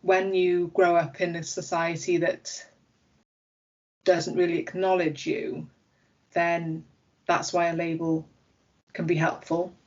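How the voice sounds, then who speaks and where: Interviewee, female voice, 30s, slightly low, hesitant explanations, muffled sound. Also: little pitch movement, takes it slowly, long pauses. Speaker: interviewee, university staff member.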